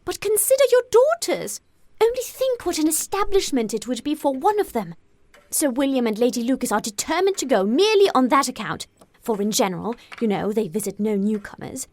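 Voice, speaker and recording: A woman voicing an excitable, nagging middle-aged wife with a British accent in an audiobook, gushing and fretful. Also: high voice, brisk, no room sound, voice pushed up. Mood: pleading. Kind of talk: audiobook character acting.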